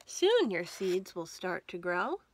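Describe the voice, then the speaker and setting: A woman's voice: lowish voice, sing-song, slow, breathy edge, full character voice. A woman voicing a kindly, sensible male frog from a children's story, in a reassuring, instructive way.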